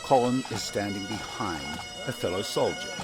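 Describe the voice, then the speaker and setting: A man's voice: on the low side, expressive, moderate pace, loud music behind the voice. A man narrating a scripted radio play, setting each scene.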